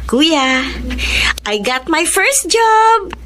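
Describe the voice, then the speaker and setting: An actress with a Filipino accent playing a cheerful, devoted younger sister in her early twenties in a Tagalog radio drama, animated and earnest. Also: very high voice, extremely slow, a little hiss or hum, exaggerated voice. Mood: excited.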